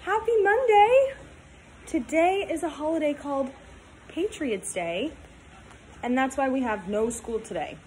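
A woman in her twenties reading a storybook aloud to young children, talking in simple, sing-song, animated phrases. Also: sits high, unhurried, long pauses, slight background noise, rough recording. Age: 20s. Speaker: teacher reading aloud to pupils.

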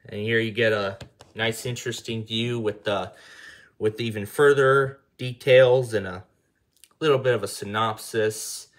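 A man's voice: fairly low pitch, steady tone, unhurried. A man giving a casual step-by-step tutorial, often repeating and rephrasing himself as he explains. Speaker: tutorial host. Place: indoor room at a table.

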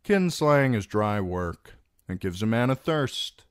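A man's voice: lowish voice, very animated, takes it slowly, clear, resonant tone, no echo, pitched above the performer's own voice. A male narrator voicing a bitter, sardonic dwarf lord who speaks in a dry, wry, curt way. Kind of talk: audiobook character reading.

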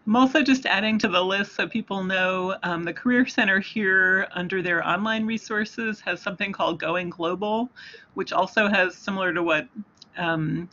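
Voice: mid-range voice, moderate pace, pushed and bright, dull audio. A webinar moderator who briefly reads out audience questions and adds resource notes.

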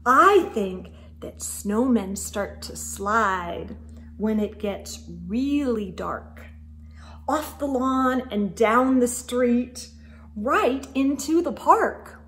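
A middle-aged woman hosting a children's storytime, reading a picture book aloud in an expressive, sing-song way and talking directly to her young listeners. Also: fairly high pitch, extremely slow. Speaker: host of children's storytime show. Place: indoors in a room lined with bookshelves.

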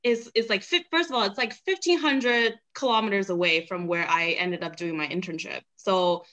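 A woman's voice: medium pitch, moderate pace. A young woman from China whose first language is Mandarin, telling stories casually and with animation on a video call panel. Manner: chatty, laughs often, animated.

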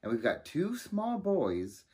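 A man in his forties from Southern California, chatting calmly on a living-room couch about his family. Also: fairly high pitch, slow pace. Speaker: family vlogger. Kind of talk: casual chat on a couch.

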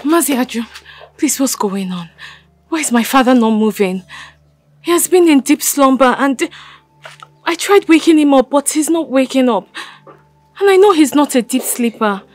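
Brief: worried daughter, high-pitched, pleading and anxious. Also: female voice, animated, moderate pace, pauses often, darkish tone, no echo. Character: worried young daughter pleading for her father.

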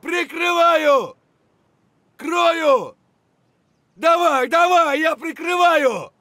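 An actor playing an aggressive, foul-mouthed Russian soldier barking urgent, coarse shouts in a military shooter game. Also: male voice, extremely high pitch, expressive, drawn-out words, no room sound, full character voice. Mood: urgent, shouting.

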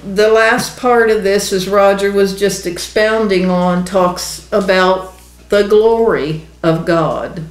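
An older woman, in her sixties, speaks with a medium-pitched voice. She teaches scripture conversationally, stressing her points earnestly. The room adds a clear echo. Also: fairly even intonation, takes it slowly. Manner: earnest, emphatic. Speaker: ministry co-host, Bible teacher. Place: small indoor room. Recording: roomy, echoey indoor sound.

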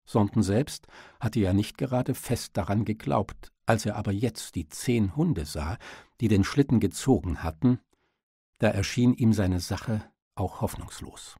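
A male audiobook narrator reading literary prose in a lowish, dark voice. It has a husky grain, and the recording is dry and close. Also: unhurried, no echo.

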